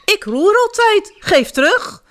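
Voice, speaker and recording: A woman voicing a small, bossy squirrel in a storybook with a squeaky voice. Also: sing-song, slow, full character voice. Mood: indignant. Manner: squeaking, sharp.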